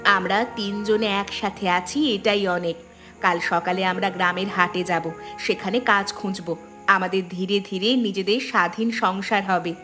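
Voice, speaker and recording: A woman's voice: medium pitch, fairly even intonation, measured pace, bright, forceful tone. A woman playing wronged young village sisters-in-law in a cartoon story, speaking in an earnest, plaintive way. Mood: calm, resolute. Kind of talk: Bengali cartoon story dialogue. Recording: music behind the voice.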